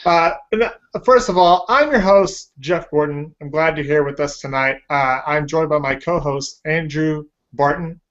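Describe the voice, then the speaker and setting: A man's voice: on the high side, normal speed, few pauses, a bit bright. A man hosting a casual podcast, chatty and upbeat, with fillers. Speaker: podcast host. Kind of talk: casual chat. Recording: lo-fi podcast audio.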